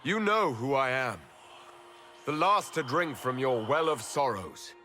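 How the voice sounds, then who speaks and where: Resolute elven hero, expressive. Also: male voice, medium pitch, measured pace, faint music behind. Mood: dry. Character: resolute male elven hero, solemn and dry. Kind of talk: dramatic fantasy video game acting.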